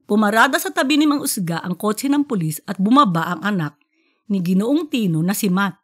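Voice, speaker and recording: A woman's voice: mid-range voice, expressive, a little fast, fairly bright tone. A woman narrating a scripted fictional story as an audiobook podcast narrator.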